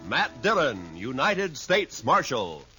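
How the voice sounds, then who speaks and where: A man announcing the opening of a 1950s radio drama in a dramatic, measured delivery. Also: mid-range voice, sing-song. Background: theme music.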